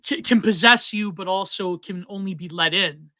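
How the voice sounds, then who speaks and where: A man's voice: high-pitched, moderate pace, bright, forceful tone, no echo. A man hosting a podcast, chatting in an engaged way and thinking aloud. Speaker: podcast host. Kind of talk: casual conversation.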